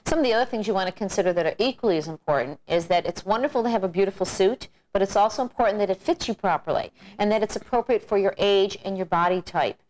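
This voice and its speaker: A middle-aged woman, a pageant coach and judge, giving measured, encouraging advice in an instructional video. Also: medium pitch, darkish tone.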